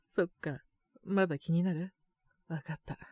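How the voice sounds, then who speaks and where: A woman playing a boyish, slightly mean but doting teenage girlfriend in a romantic audio drama, speaking in a soft, intimate, teasing way. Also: lowish voice, slightly rough, dry recording, clean studio sound. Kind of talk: Japanese romantic situation voice acting.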